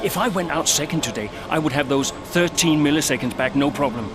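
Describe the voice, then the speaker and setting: A man's voice: on the high side, brisk, over loud music, natural voice. A man playing a sulky young racing driver. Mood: frustrated. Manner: sulky, complaining. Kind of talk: video game cutscene acting.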